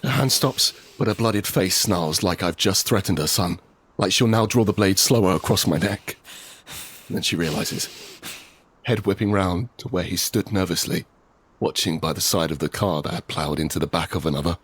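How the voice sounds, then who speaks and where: A man playing a frightened, desperate father in an apocalyptic audio drama, speaking with halting, intense urgency. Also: sits low, fairly bright tone, slightly rough. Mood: tense, grim. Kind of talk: first-person scripted audio drama narration with acted dialogue.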